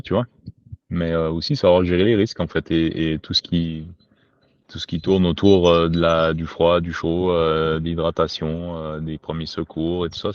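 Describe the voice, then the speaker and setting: Podcast guest: man from Quebec, very low voice, slangy and spontaneous, muffled lo-fi sound. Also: dry recording. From Quebec, Canada. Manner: informal, slangy, swears casually. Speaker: podcast guest, survival instructor. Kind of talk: spontaneous casual conversation. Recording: dull lo-fi home recording.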